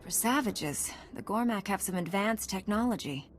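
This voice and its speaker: A resolute young woman Jedi knight, played by an actress in a video game. Her voice sits at a medium pitch. Music plays faintly behind her. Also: animated, normal speed, lightly acted. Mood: determined, inquiring.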